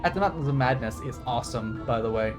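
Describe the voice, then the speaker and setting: A man's voice: medium pitch, fast, music in the background. A man livestreaming a game, reading text aloud and drifting into rambling, enthusiastic asides. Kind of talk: game stream commentary, reading on-screen text aloud and chatting.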